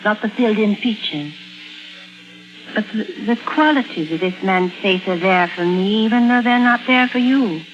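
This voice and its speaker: A woman's voice: medium pitch, normal speed, lightly acted. An actress playing a troubled woman painter with amnesia, brooding and intense, in 1950s radio drama style. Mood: haunted, brooding. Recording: dull vintage 1950s radio recording. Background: dramatic music.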